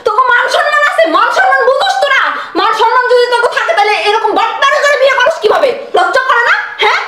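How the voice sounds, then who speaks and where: A woman playing a furious young bride who shouts insults and demands a divorce. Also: very high-pitched, animated, quick pace, echoey room, slight background noise, exaggerated voice. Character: furious young bride, sharp-tongued and scolding. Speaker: newly married bride. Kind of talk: comic domestic drama acting.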